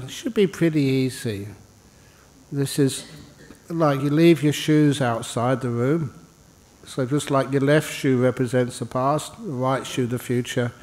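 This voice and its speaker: A man leading a guided meditation as a monk and teacher, speaking informally in short, unhurried phrases with pauses and gentle humour. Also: mid-range voice, in a large hall. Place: indoor meditation hall.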